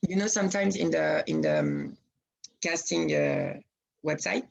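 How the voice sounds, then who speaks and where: Husky-voiced young woman. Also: low-pitched, huge pitch swings, darkish tone, low-quality recording. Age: 20s. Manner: hesitant, halting with restarts. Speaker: acting student. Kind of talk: asking questions in class.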